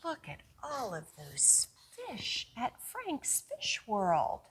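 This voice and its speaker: Bright-voiced lady doing kids storytime, really slow and clear storyteller pacing, asks little questions. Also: fairly high pitch. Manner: expressive, coaxing, asks the listeners questions. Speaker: children's librarian hosting storytime. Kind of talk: animated read-aloud for young children.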